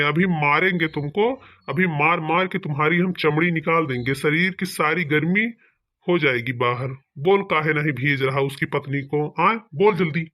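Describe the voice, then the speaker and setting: A man's voice: on the high side, sharp tone. A man acting a bossy village police officer in a cartoon comedy, commanding. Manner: authoritative, commanding.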